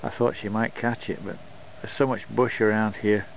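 A man narrating his dog scooter run outdoors in the desert in a relaxed, unhurried way. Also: on the low side, vocal fry, a little hiss or hum, poor audio. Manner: relaxed, unhurried talk with fillers. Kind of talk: casual vlog narration.

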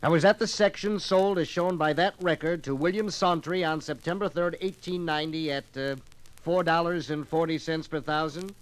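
Male actor as American committee examiner, 1950s radio play. Formal, deliberate questioning with hesitations, slightly raised register. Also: fairly high pitch, steady tone, natural voice, low-quality recording. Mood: probing, formal. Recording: vintage 1950s radio LP recording.

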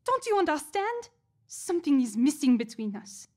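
A woman's voice: sits high, very animated, moderate pace, a little mellow. An actress playing a lonely, earnest young wife and mother in an audio drama, speaking with feeling. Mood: hurt, frustrated.

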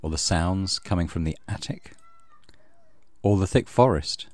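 A man's voice: deep voice, animated, unhurried, no room sound. A man hosting a let's play, reading story narration aloud with casual commentary.

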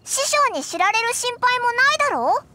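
A woman's voice: very high-pitched, sing-song, moderate pace, background noise. A woman playing a tiny, chatty fairy companion in a Japanese anime game, childlike and bluntly casual. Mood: sympathetic, suggesting. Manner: boyish blunt casual phrasing. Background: background music.

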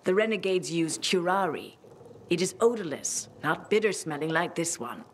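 A woman playing an older herbalist healer in a video game, calm, stern and measured. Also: on the low side, a little hiss or hum. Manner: measured, instructive. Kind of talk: video game dramatic acting.

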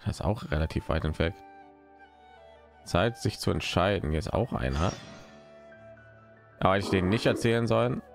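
A man's voice: low voice, animated, normal speed, gravelly, long pauses. A man streams a game. He comments casually and off the cuff, with faint game music in the background.

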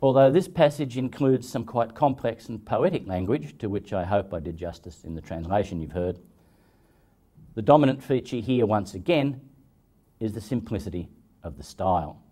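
Mellow, low-voiced man lecturing. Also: lively intonation. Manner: measured, formal. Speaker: translator commenting on the work. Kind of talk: prepared lecture commentary.

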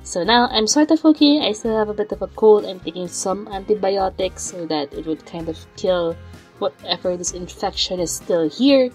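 A young woman with a raspy voice from a lingering cold, chatting in a rambling way as the host of her art channel. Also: mid-range voice, lively intonation, moderate pace, a little mellow, soft background music. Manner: rambling, chatty, with fillers and sighs. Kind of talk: casual chat over drawing footage.

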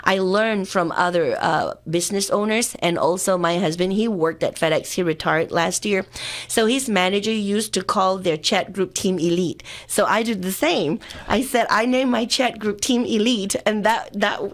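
A woman in her fifties who grew up in Singapore, talking at length in an upbeat way as a guest in a radio studio interview, on a studio mic. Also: mid-range voice. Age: 50s. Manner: talkative, upbeat, fast-running answers with fillers. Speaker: political candidate, interview guest. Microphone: studio mic with headphones.

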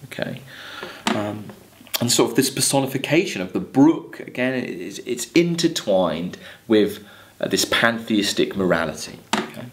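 A man in his thirties giving a college English lecture, explaining verse in halting, repeated phrases with emphatic stresses. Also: fairly low pitch, big pitch swings, a little hiss or hum. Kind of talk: lecture on poetry, reading verse aloud and commenting. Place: indoors, seated at a desk in a small room.

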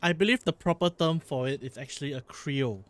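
A man from Singapore with a Singaporean English accent, a vlog host chatting casually and explaining in quick bursts that often stop and restart. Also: fairly high pitch, no echo. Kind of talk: casual chat while streaming.

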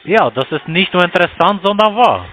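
Bright, high-voiced mocking man on noisy line. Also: animated, a little fast, highs cut off. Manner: mocking, provocative. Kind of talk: phone call, taunting chat. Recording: noisy call with clear background noise.

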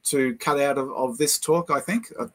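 A man's voice: medium pitch, lively intonation, normal speed, a bit bright. Older New Zealand man telling a story. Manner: hesitant, many fillers, anecdotal. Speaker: guest lecturer. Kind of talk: casual chat, storytelling. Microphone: video call.

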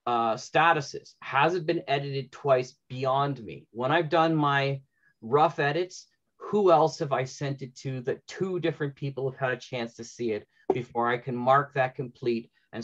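A man's voice: mid-range voice, a bit bright. A middle-aged man hosting an informal panel, thinking aloud in a conversational way over a lo-fi video call. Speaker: panel host and audio drama writer. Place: indoor room at home, on a video call. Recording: dull lo-fi video call audio.

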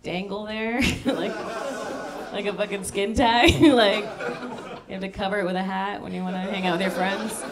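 Woman comic with a deadpan delivery. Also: mid-range voice, moderate pace, crowd noise. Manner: deadpan dark punchlines, chatty asides. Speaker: stand-up comedian. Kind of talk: stand-up comedy set. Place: comedy club stage before a live audience. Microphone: handheld stage mic. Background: audience laughter.

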